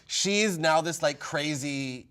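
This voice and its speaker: A man co-hosting a gossip show, getting over a cold and a little congested, talking in a chatty, animated way and laughing often. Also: fairly high pitch, takes it slowly, clean tone. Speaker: co-host. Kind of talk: casual gossip chat.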